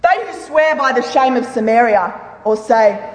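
A woman's voice: on the high side, animated, normal speed. A woman giving a solemn, declamatory dramatic reading of scripture with emphatic delivery. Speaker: reader in a church dramatic reading. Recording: echoey hall with long reverb.

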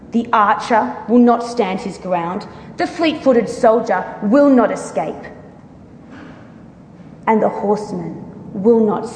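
A woman's voice: mid-range voice, creaky, darkish tone, slight background noise. A woman giving a solemn, declamatory dramatic reading of scripture as a church member. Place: large reverberant room. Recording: echoey hall with long reverb.

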